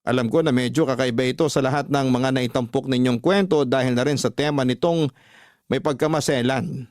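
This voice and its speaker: A man's voice: mid-range voice, steady tone, brisk, darkish tone, no echo, studio quality. A man with a Filipino accent, a radio host reading listeners' letters as earnest, sentimental, dramatic narration. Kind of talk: dramatic scripted narration of love-story letters. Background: music bed.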